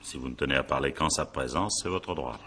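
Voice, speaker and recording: An actor playing a brisk, impatient male magistrate in a French radio drama, with an authoritative, clipped and dryly ironic way of questioning. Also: extremely low pitch, pushed and bright, slight background noise, heavily acted. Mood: formal, brisk.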